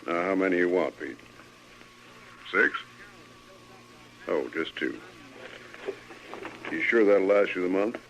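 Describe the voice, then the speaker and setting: An actor playing a patient, chatty storekeeper in an old radio western, with folksy, coaxing American patter. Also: male voice, deep voice, takes it slowly, long pauses, a bit bright, exaggerated voice. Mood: friendly, patient. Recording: noisy, dull old radio recording.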